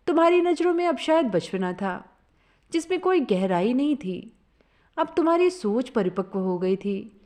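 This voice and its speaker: Expressive higher-voiced woman narrating a story. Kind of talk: scripted first-person story narration.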